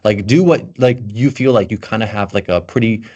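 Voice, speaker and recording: A man's voice: fairly low pitch, moderate pace, a little mellow, slightly rough, lo-fi sound. A man from Belgium with an American accent, chatting eagerly as a podcast guest and filling his talk with "like". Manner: loose and eager, heavy on fillers like "like". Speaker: guest, tech content creator. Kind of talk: casual podcast chat.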